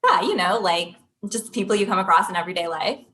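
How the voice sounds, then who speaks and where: A woman's voice: medium pitch, lively intonation, fast talker, a bit bright, natural voice. A woman playing a plain-spoken thirty-year-old professional with a questioning, conversational manner in a comedy table read. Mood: friendly, casual.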